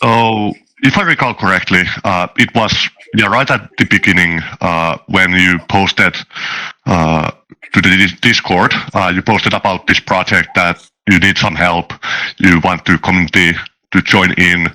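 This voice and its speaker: A man chatting casually as a guest, halting and thoughtful, often repeating words as he goes. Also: low-pitched, steady tone, pushed and bright, rough recording. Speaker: interview guest, tabletop game master. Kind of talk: casual chat.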